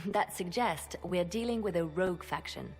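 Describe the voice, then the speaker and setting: A woman's voice: lowish voice, moderate pace, natural voice. A woman playing a cool, composed spymaster in a video game, giving reports in a controlled, matter-of-fact way. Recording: soft music behind.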